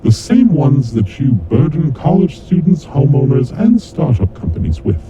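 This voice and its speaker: Menacing, theatrical kidnapper played by a man, swooping pitch. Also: huge pitch swings. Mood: accusing, vengeful. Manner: theatrical, deliberate, formal, taunting. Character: menacing male kidnapper mastermind, coldly vengeful game announcer. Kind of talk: scripted horror monologue audio drama. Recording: dull lo-fi audio with clear rumbling noise.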